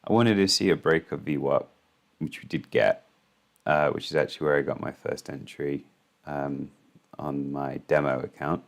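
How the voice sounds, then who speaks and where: A man with an extremely low, hoarse voice talks through the morning's market moves. He speaks in a casual, halting way, full of ums and restarts, like a host thinking aloud on his own channel. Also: steady tone.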